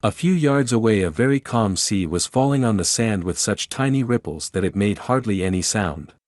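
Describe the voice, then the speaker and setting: A man's voice: lowish voice, animated, normal speed, darkish tone, dry recording, studio quality. A man reading scripted storybook narration as the narrator.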